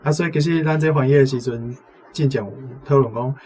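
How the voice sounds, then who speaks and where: A man's voice: medium pitch, fast, darkish tone, slight background noise. A man with a Taiwanese accent, a language teacher giving explanatory commentary off the cuff. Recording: music playing behind the voice. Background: instrumental music.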